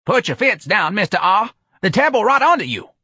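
A man's voice: sits high, big pitch swings, a little fast, pushed and bright, slightly rough, no room sound, clean studio sound, voice pushed up, exaggerated voice. A man voicing an eager working-class factory laborer in an audiobook, breathless and colloquial. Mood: straining reassurance. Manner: colloquial, dropped verbs, breathless.

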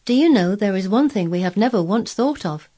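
A woman's voice: mid-range voice, animated, fairly quick, dark tone, slightly rough, voice pushed up. A woman voicing an imperious, wilful, sickly ten-year-old boy in a storybook, giving orders in a commanding way. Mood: excited. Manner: commanding, peremptory. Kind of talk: storybook character voice.

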